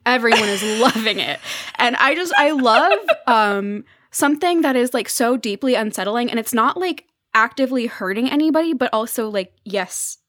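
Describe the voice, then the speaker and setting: Female podcast guest, fairly high voice, droll enthusiasm, dry studio sound. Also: animated, moderate pace, a bit bright, no room sound. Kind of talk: casual chat.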